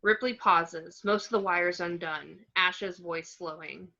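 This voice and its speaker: A woman's voice: fairly low pitch, slow pace, vocal fry, sharp tone. A woman narrating stage directions from a screenplay in short clipped phrases, on a lo-fi recording. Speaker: narrator reading action descriptions.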